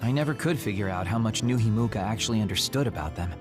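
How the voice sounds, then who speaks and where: A man playing a knowledgeable, measured character who explains things in a sci-fi anime game dub. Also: fairly low pitch, natural voice. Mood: thoughtful, measured. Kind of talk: English game dub acting, explanatory dialogue. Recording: music behind the voice.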